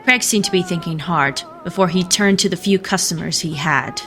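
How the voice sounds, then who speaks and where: A woman's voice: on the low side, normal speed, fairly bright tone, soft background music, lightly acted. A woman reading scripted third-person narration as the storyteller of a noir mystery radio play. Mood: storytelling.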